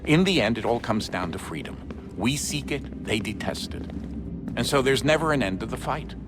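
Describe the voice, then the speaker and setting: An actor playing a stern, world-weary middle-aged father in a video game, speaking in a measured, matter-of-fact way. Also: medium pitch, lively intonation, noisy background, natural voice. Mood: firm. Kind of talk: video game cutscene acting.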